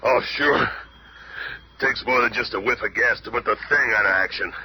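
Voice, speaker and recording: An actor playing a gruff, hot-tempered, immensely strong superhero in a 1970s radio drama, grumbling and wisecracking in slang with a gravelly voice. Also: male voice, medium pitch, normal speed, close to an everyday voice. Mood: defiant. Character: gruff, hot-tempered monster-strong superhero, a blue-collar New Yorker. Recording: noisy old 1970s radio recording.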